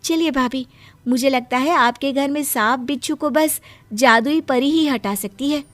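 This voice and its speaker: A woman's voice: sits high, unhurried, a bit bright, no room sound, soft background music, voice pushed up. A woman voicing a poor, kind-hearted, meek village wife, earnest and emotive, in a cartoon moral story. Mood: sincere.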